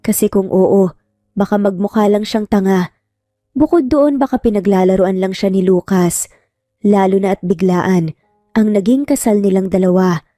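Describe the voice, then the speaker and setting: A woman's voice: mid-range voice, normal speed, dark tone, dry recording, clean studio sound. A woman reading a scripted romantic drama as a storyteller. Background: music.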